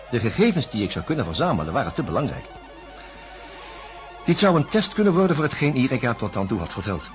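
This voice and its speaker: An actor playing a measured, coaxing doctor in a radio drama, speaking in a deliberate, controlled way. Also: male voice, medium pitch, close to an everyday voice. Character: measured, coaxing male doctor conducting hypnosis. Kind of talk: radio drama narration and dialogue.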